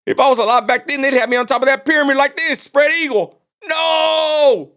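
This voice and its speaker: A man's voice: extremely high pitch, moderate pace, fairly bright tone. Blunt, profane West Texas man ranting. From Texas, USA. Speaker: livestream host. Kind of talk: casual ranting livestream commentary.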